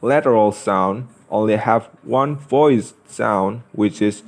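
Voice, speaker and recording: A young man reads a scripted lesson as a student presenter, enunciating carefully and deliberately. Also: on the low side. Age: young adult.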